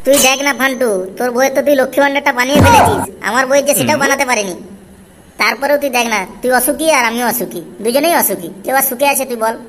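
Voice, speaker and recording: A man voicing a scheming, boozy village husband in an amateur comedy cartoon, confiding, with artificially raised pitch. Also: rapid-fire, noisy background. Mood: scheming, chummy. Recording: reverberant track with faint music. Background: faint music.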